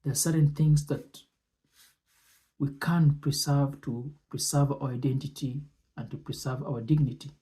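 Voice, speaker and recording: A man presenting and commenting on speech excerpts in a deliberate, measured way, often pausing between short phrases. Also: medium pitch, fairly even intonation, mellow.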